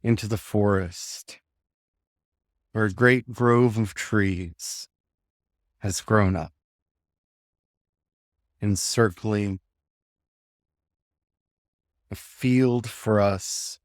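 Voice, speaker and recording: A man leading a guided meditation, giving gentle instructions in short phrases with unhurried pauses. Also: fairly low pitch, fairly even intonation, no echo, clean studio sound.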